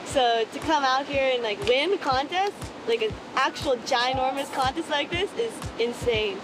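Young Hawaiian woman, high voice, excited, loud music under her. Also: poor audio. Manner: excited, fast casual chat with many "like"s. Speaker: surfer.

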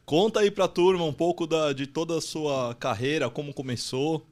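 A man's voice: on the high side, moderate pace. A man with a Brazilian accent, hosting a podcast and interviewing a guest in a friendly, casual way. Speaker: podcast host.

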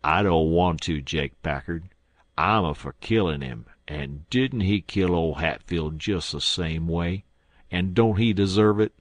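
A man voicing a brutal, sullen river gangster with a gruff voice and a rustic drawl in an audiobook. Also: deep voice, measured pace, no echo. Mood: angry. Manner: rustic drawl with folksy dialect. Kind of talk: audiobook character acting.

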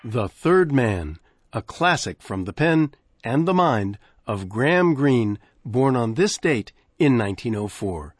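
A male radio host delivers scripted closing remarks in a measured announcer manner. His pitch rises and falls expressively, and the studio sound is close and dry. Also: no room sound. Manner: measured, warm announcer delivery with lively phrasing. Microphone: studio microphone.

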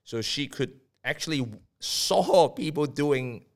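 A man's voice: a little high, lively intonation, takes it slowly. Hesitant man. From Hong Kong. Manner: hesitant, many fillers. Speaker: guest. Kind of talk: casual interview answers.

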